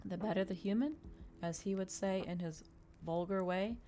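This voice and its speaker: Woman reading a book asmr style, soft n measured, dark mellow voice, some ambient noise behind. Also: medium pitch. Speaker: streamer reading a novel aloud.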